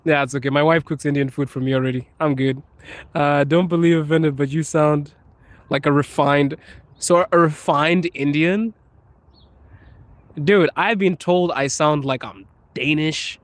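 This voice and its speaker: A man's voice: fairly high pitch, fairly even intonation, unhurried, dry recording. A man from Zimbabwe live-streaming outdoors on a phone, casually reading and joking about viewer comments as he walks. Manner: laughing, joking with chat while walking. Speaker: livestreamer. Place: outdoors in a cold city street.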